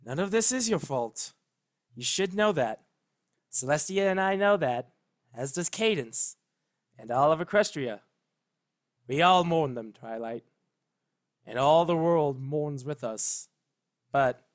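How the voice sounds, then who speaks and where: A man voicing a solemn, regal pony princess who speaks formally, measured and gently firm, in a story reading. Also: on the high side, expressive. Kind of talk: dramatic fan fiction reading.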